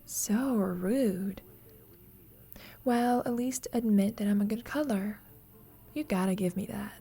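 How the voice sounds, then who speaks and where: A woman playing a teasing, affectionate young roommate with a secret crush in an ASMR roleplay, speaking softly and breathily, often in a whisper, with playful, tender gentleness. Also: mid-range voice, slow pace, slightly rough. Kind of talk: ASMR roleplay, intimate sleep-aid audio.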